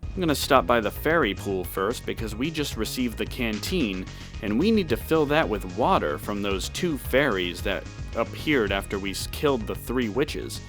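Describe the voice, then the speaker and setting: A man's voice: lowish voice, expressive, normal speed, fairly bright tone. A man giving casual walkthrough commentary on a video game. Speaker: gameplay walkthrough host. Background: game music.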